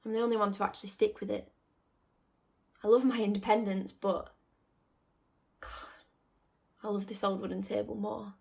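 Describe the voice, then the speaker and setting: A woman playing a nostalgic young woman in a reflective radio drama monologue, confiding and wistful, with sighing pauses. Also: mid-range voice, flat delivery, gravelly, a little mellow, natural voice. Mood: conflicted, affectionate. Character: nostalgic young woman torn between home and independence.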